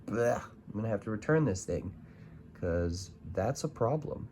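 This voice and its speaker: A man's voice: lowish voice, lively intonation, measured pace, darkish tone, a little hiss or hum. A man giving a casual, unscripted gadget review, informal and hesitant as he thinks aloud. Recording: music in the background.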